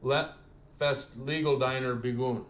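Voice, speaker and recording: Joking small-town mayor. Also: male voice, mid-range voice, fairly even intonation, unhurried, slight background noise. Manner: joking, self-deprecating. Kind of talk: casual chat.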